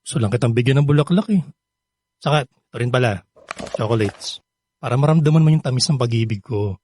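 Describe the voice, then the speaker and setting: An actor playing an earnest, lovestruck young suitor in a Filipino radio drama, pleading and sweet-talking with a Filipino accent. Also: male voice, medium pitch, a little fast, darkish tone, slight background noise, lightly acted. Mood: pleading, romantic.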